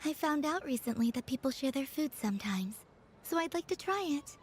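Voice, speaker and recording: A woman voicing a gentle, curious, otherworldly young woman in a game, speaking softly in simple, sincere sentences. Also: high-pitched, expressive, brisk. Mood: gently pleased. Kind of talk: animated game dialogue. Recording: music playing behind.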